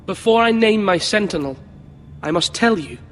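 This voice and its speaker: A man's voice: high-pitched, expressive, normal speed, a bit bright, slight background noise. A young man playing an earnest, untested young lord giving commands in a dramatic video game. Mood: measured, resolute. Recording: long hall reverb, faint music.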